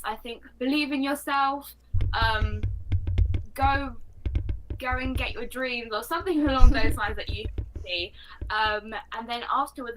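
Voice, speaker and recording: A teenage girl from London speaks with a British accent in a bright, fairly high voice. She is a talkative young motivational speaker, explaining herself in a casual interview. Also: poor audio. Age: 14 years old.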